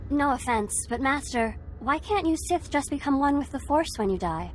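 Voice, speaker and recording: Game role: cheeky young Sith apprentice, high pitch, slight background noise. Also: female voice, expressive, normal speed. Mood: curious, cheeky. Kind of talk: video game voice acting.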